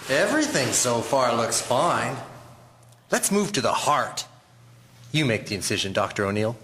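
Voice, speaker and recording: An actor playing a grave, dramatic Victorian doctor, theatrical and emphatic, in an audio drama. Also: male voice, mid-range voice, big pitch swings, normal speed, fairly bright tone, slight background noise. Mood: focused. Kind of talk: scripted audio drama acting.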